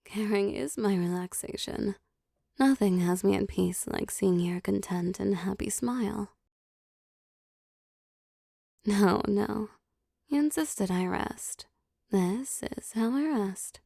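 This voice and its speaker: Slow, mellow vampire CEO played by a woman, doting. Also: medium pitch, creaky, no echo, clean studio sound. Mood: doting, playful. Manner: slow, teasing, pampering, softly commanding. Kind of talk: intimate roleplay audio acting.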